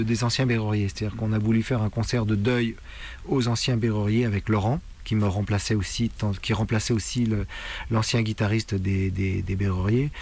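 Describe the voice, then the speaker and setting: A middle-aged man from France reminiscing in a documentary interview, searching for words and repeating himself as he goes. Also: on the low side. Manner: halting, searching for words with repetitions. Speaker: punk musician interviewee. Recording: loud music behind the voice.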